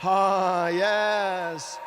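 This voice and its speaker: An actor playing an eccentric, mystical wrestler with a grandiose, drawn-out way of talking in a video game cutscene. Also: male voice, high voice, level tone, over music. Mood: dreamy wonder.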